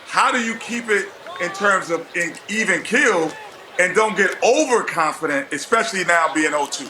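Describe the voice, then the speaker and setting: Male analyst, very bright, high voice, playful. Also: normal speed, a little hiss or hum, low-quality recording. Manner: lively, playful and chanting.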